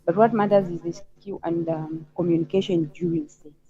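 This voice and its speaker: A woman with a Zambian accent calling in to a talk show, speaking conversationally with halting phrasing. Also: fairly low pitch, steady tone, a crowd in the background. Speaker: caller on a live talk show. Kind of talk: conversational opinion and personal account. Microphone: remote call connection. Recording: slightly dull lo-fi call audio.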